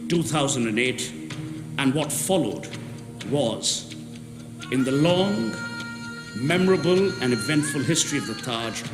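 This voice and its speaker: A man's voice: medium pitch, lively intonation, unhurried, long pauses. Emphatic man reading in a roomy hall. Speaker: guest reader. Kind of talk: reading a book excerpt aloud. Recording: roomy hall with music behind.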